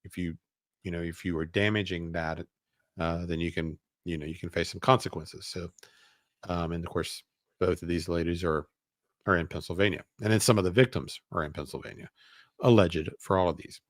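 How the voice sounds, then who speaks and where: A man's voice: deep voice, steady tone, a little fast, no echo, studio quality. A man hosting a show, reading documents aloud and adding casual commentary with hesitant asides. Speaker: show host.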